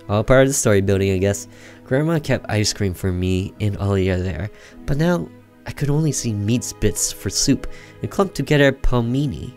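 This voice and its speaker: A man's voice: fairly low pitch, darkish tone. A man doing casual gameplay commentary and reading on-screen story text aloud. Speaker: gamer doing a let's play, reading game text aloud.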